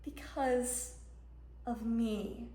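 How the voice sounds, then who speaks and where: A woman performing a dramatic monologue as a frantic, grieving mother, with emphatic delivery and heavy pauses. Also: on the high side, steady tone, dark tone. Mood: wistful, reflective. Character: frantic mother of a middle schooler, desperate and grieving. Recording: echoey room, voice ringing off walls.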